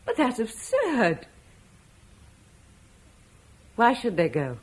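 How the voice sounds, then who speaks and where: A gracious Roman-British wife. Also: female voice, fairly high pitch, wildly swooping pitch, drawn-out words, exaggerated voice. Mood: incredulous. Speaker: actress in radio drama. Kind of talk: 1950s British radio play acting.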